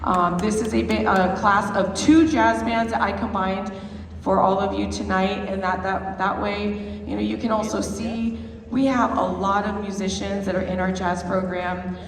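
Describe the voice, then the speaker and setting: A band director, a slightly hoarse woman, chattily introducing a school jazz concert to the audience with many fillers and restarts. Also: mid-range voice, steady tone, moderate pace, a crowd in the background. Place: large performance pavilion. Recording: echoey hall, far from the mic.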